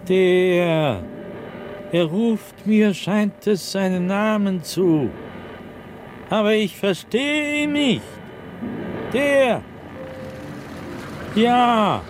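Tormented man, wildly swinging pitch. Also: slight background noise, exaggerated voice. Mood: frantic, straining. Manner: halting, repetitive, dramatic, with anguished emphasis. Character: tormented man obsessed with an invisible being, desperate. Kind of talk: German radio drama monologue, theatrical diary narration.

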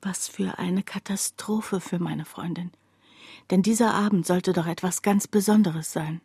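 A woman's voice: lowish voice, measured pace, a little mellow, slightly rough, no room sound, clean studio sound, exaggerated voice. A woman narrating a literary radio drama monologue, confiding and precise, as someone wryly recounting a poor friend's troubles. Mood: grave, wry.

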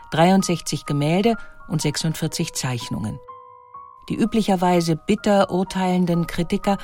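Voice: medium pitch, a little mellow. A narrator reading a scripted documentary about history and art.

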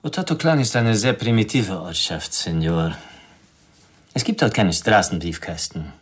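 An actor playing a polite, guarded Mexican hotel employee with a formal, courteous manner in a radio play. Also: male voice, fairly low pitch, lively intonation, moderate pace, breathy edge. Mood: courteous, matter-of-fact.

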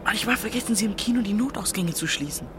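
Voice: medium pitch, fast, background noise, close to an everyday voice. A performer playing a guarded, literal-minded boy of thirteen in a German radio drama, matter-of-fact and questioning.

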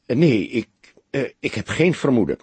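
A man's voice: medium pitch, very animated, measured pace, a little mellow, slightly rough, no room sound, exaggerated voice. Een man met een Nederlands en hese stem speelt in een luisterboek een jonge kantoormedewerkster die ontwijkend en stug antwoordt. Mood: evasive, hesitant. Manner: evasive, hesitant, reluctant. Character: guarded, curt young female office worker under questioning.

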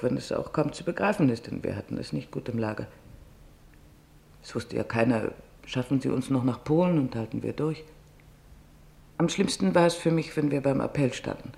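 Man voicing an earnest woman survivor, creaky. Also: mid-range voice, a little mellow, pitched above the performer's own voice. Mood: sorrowful reflection. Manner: earnest, vivid recollection. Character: anguished Dutch woman survivor recounting camp memories. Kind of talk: audiobook testimony narration.

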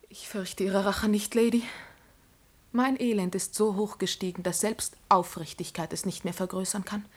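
A woman's voice: mid-range voice, steady tone, normal speed, close to an everyday voice. An actress playing a proud, earnest young commoner girl in a classical radio drama, with solemn, formal declamation. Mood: defiant, wounded dignity.

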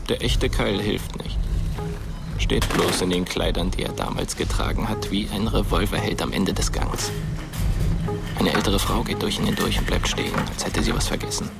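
A dead teenage boy's ghost narrates a radio drama in a lowish, gravelly voice. His delivery is hushed, watchful and deliberate, over loud music. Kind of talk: scripted radio play narration.

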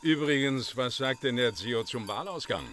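A man's voice: medium pitch, lively intonation, moderate pace, a bit bright, a little hiss or hum, close to an everyday voice. An actor playing a brusque, suspicious mafia errand man, curt and commanding, in a radio crime drama.

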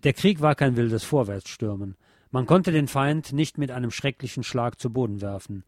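A man's voice: mid-range voice, lively intonation, normal speed, a little mellow, no echo, clean studio sound. A man reading literary prose aloud as an audiobook narrator, in scripted narration.

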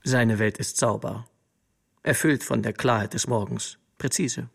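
An actor playing a cool, detached murderer with precise, clipped phrasing in a radio drama. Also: male voice, mid-range voice, measured pace, gravelly, fairly bright tone. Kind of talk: German radio drama monologue.

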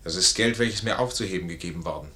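An actor playing a proud, honourable discharged major, formal and commanding, in a 1950s German radio play. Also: male voice, low-pitched, quick pace, sharp tone. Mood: proud, bitter.

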